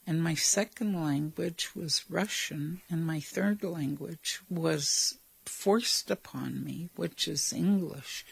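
An older woman from Alaska, speaking in a documentary interview and slowly recalling her childhood village. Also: sits low, vocal fry, slightly rough, dry recording. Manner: slow, reflective storytelling.

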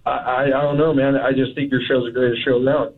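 A man's voice: mid-range voice, little pitch movement, a little fast. A man calling in to a radio show, stammering as he gushes about the host. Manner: stammering, gushing fan. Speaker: caller. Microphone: phone. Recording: tinny phone line.

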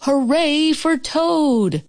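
A woman voicing a small, gentle male frog in a children's storybook, peeping and reassuring. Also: sits high, huge pitch swings, slow, creaky, dry recording, clean studio sound, pitched above the performer's own voice, heavily acted. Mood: cheering.

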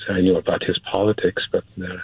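A man's voice: low-pitched, level tone, fairly quick, vocal fry, breathy edge, dry recording. A man from Syria, a film producer speaking as an interview guest, hesitant and repeating words for emphasis. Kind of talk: casual interview talk. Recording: dry, phone-like narrow band.

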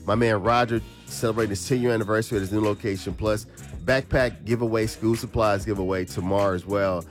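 A man's voice: lowish voice, steady tone, fast, soft background music. A congested man hosting morning radio, talking in hype, repetitive call-and-response banter with his co-host.

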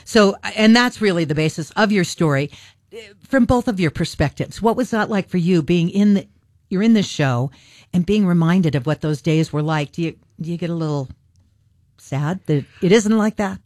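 A woman's voice: lowish voice, expressive, moderate pace, a little mellow, no room sound, clean studio sound. Chatty woman interview host. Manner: chatty, laughs often, restarts. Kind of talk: casual interview.

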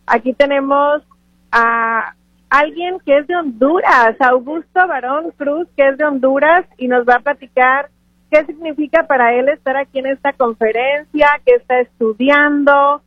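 A woman's voice: high voice, unhurried, dry recording. A woman hosting a live radio interview in an effusive, encouraging manner. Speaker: radio host interviewing at an event. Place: live remote broadcast from a conference. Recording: phone-like radio broadcast sound.

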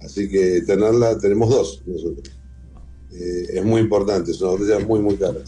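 A man's voice: low-pitched, moderate pace, dark tone, faint music behind. A middle-aged man with an Argentine accent, answering conversationally as the guest in an interview. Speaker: interview guest, whisky museum founder and collector.

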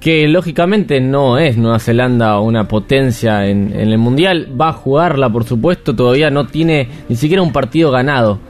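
A man's voice: medium pitch, normal speed, few pauses. A man with an Argentine accent explaining a story in casual radio chat. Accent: Argentine Spanish. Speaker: radio segment reporter.

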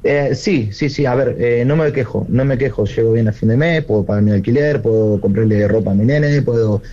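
A man with an Argentine accent, a songwriter guest speaking by phone, talking haltingly with many fillers and restarts. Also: mid-range voice, dark tone, dull audio. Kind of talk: phone interview.

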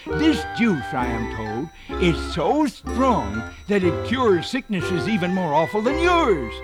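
A man voicing a small, sly, quick-witted rabbit in a children's storybook, with a theatrical, persuasive delivery. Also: high-pitched, voice pushed up, heavily acted. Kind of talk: children's storybook character reading.

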